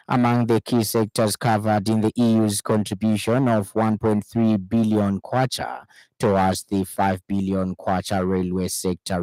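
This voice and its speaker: A man's voice: lowish voice, dark tone, dry recording, poor audio. A man with a Zambian accent reading a scripted radio news bulletin as the presenter. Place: radio studio.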